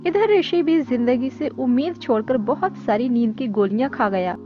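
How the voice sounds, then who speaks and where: A woman's voice: high-pitched, normal speed. A woman reading a scripted story as narrator. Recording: dull lo-fi audio with music. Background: background music.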